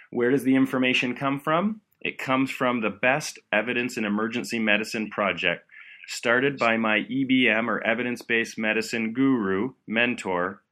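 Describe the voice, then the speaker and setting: A man's voice: on the low side, bright, forceful tone. A man hosting a medical education podcast, explaining with upbeat enthusiasm. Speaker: podcast host.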